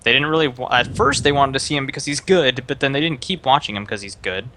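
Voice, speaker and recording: A man's voice: medium pitch, quick pace, pushed and bright, a little hiss or hum. A young man streaming live, casually giving another streamer rambling advice with lots of fillers. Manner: rambling, many fillers and restarts, advising.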